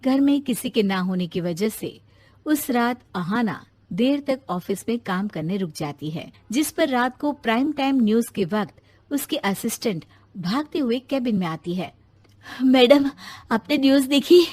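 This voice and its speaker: High-voiced woman storyteller. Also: lively intonation, normal speed, a little mellow, husky. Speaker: narrator. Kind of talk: scripted moral story narration.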